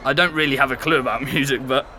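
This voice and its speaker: An actor playing an exasperated, chatty former flatmate telling stories in an animated, conversational audio drama style. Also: male voice, medium pitch, fast talker, background noise, close to an everyday voice. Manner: animated storytelling, mimicking and exclaiming.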